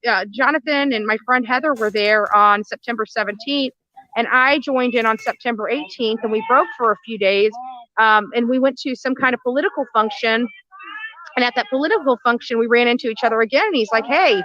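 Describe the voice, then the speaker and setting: Bright high woman, earnest self-praise. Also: fairly quick. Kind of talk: self-promoting interview clip. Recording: dull lo-fi clip audio.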